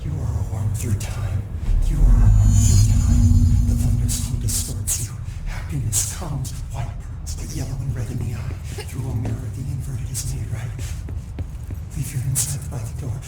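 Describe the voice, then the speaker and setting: A man playing a disturbed, resentful young man possessed by an eerie force, speaking slowly and haltingly in cryptic phrases in a video game. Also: medium pitch, loud music behind the voice, close to an everyday voice. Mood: incantatory, ominous. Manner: slow, halting, cryptic chanting and musing. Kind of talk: video game cutscene acting. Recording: echoey room, clear background noise.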